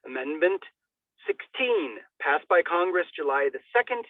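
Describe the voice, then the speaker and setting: Guy with a high voice doing a dramatic reading, really swoopy and declamatory, sounds like over a telephone. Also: sing-song, measured pace, no room sound. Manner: theatrical, declamatory delivery with emphatic swells. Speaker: reader. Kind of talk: dramatic reading of a legal document. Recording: thin, phone-like band-limited sound.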